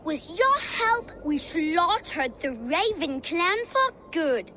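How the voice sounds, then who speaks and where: A woman's voice: very high-pitched, big pitch swings, slow, pushed and bright, a little hiss or hum, heavily acted. A woman voicing an eager, boastful young child who plays at Viking raiding in a video game. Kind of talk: video game cutscene acting.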